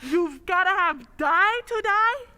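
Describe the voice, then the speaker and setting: A woman's voice: very high voice, expressive, takes it slowly, bright, forceful tone, heavily acted. A woman playing a bewildered, indignant male comic sidekick in an old-time radio comedy routine, exclaiming incredulous questions.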